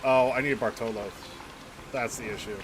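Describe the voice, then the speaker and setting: A man's voice: mid-range voice, steady tone, moderate pace, background noise. A man doing casual, rambling video game commentary, half muttering to himself as he plays. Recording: game music behind the voice. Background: video game music.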